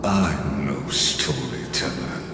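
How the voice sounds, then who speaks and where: A man playing a dark, theatrical prisoner in a video game, recounting grim history in an ominous, deliberate way. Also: extremely low pitch, heavily acted. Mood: grim, brooding. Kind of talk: dramatic video game monologue. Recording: cavernous echo and reverb under game music.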